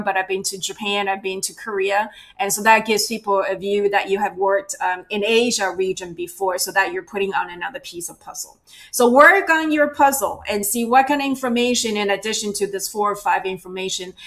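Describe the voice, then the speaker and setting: A woman's voice: mid-range voice, a bit bright. A woman giving a virtual workshop as a cultural awareness trainer, talking conversationally and checking in with the audience often. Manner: conversational, checks in with frequent "right?" and "you know". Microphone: video call.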